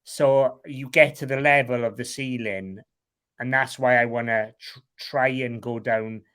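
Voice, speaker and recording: A man's voice: medium pitch, fairly even intonation, unhurried, fairly bright tone, smooth. A man with a Welsh accent, a guest talking candidly in an interview, frank and rambling, swearing casually. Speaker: guest, online seller.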